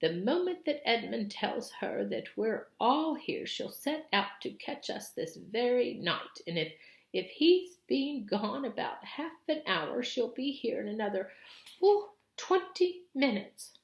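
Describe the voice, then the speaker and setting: A woman reader acts out an older beaver wife from a children's storybook. She is fretful and emphatic, pressing her warnings urgently in a raised, high voice. The room adds a noticeable echo. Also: very animated, takes it slowly, gravelly, full character voice.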